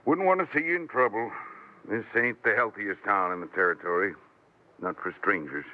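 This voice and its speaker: A man plays a cautious frontier townsman from a radio western. His voice sits on the low side, with folksy, rustic phrasing. The audio is narrow and tinny, as in a vintage broadcast. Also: moderate pace, close to an everyday voice. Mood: wary, friendly. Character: folksy, cautious Old West townsman warning strangers. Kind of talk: 1950s radio western acting.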